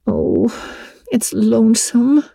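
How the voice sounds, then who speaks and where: A woman plays a dreamy, homesick teenage girl from a classic storybook. Her delivery is slow and dramatic, full of wistful exclamations. The voice is soft and mellow. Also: fairly high pitch, huge pitch swings, vocal fry, slightly rough, dry recording, faint music behind, pitched above the performer's own voice, heavily acted.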